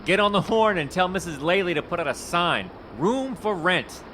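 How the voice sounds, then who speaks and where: An actor playing a folksy, fast-talking male Hollywood talent scout with a salesman's patter in an audio drama. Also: high voice, very animated, background noise.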